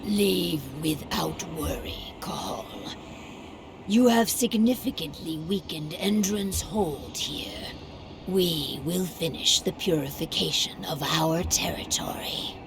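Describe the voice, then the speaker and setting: A woman playing a proud, solemn werewolf tribe leader in a video game cutscene, speaking gravely with breathy, half-whispered phrases. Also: fairly low pitch, takes it slowly, noisy background. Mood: calm, grateful.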